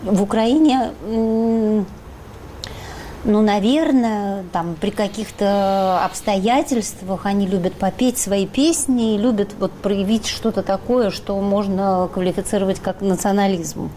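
A woman's voice: medium pitch, a little slow, mellow, slight background noise. A woman speaking as a studio guest in a panel discussion, putting her points assertively and arguing back. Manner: assertive, argumentative.

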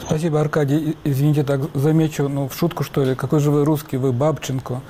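A polite male host moderates a studio panel, steering it from one question to the next. His delivery is flat and mellow, with a faint studio hum behind him. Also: fairly high pitch, fast.

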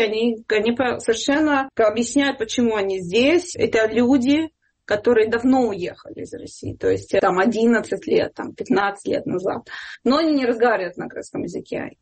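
Woman volunteer in an interview, voice a bit high and raspy, tells stories and quotes people. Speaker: charity fund volunteer being interviewed. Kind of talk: spoken interview answers with anecdotes.

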